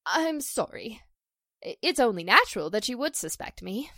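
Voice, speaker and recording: Woman acting as distressed actress, high voice, sweeping melodramatic swings, halting, pleading, old radio drama, dry close sound. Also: sing-song, sharp tone, no echo, exaggerated voice. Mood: hurt, subdued. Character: distressed stage actress accused of a publicity stunt, pleading, wounded.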